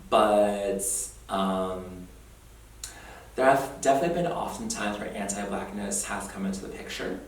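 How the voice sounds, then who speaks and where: A young man reflecting earnestly on his heritage in an oral history interview, thoughtful and quick to laugh. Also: on the low side. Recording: roomy echo, distant mic, faint noise.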